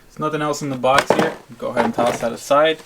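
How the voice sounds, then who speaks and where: A man's voice: medium pitch, steady tone, quick pace. A man talking casually to his viewers in an unboxing vlog, explaining things in an informal, plain-spoken way. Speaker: vlogger. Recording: clear background noise, crinkling packaging.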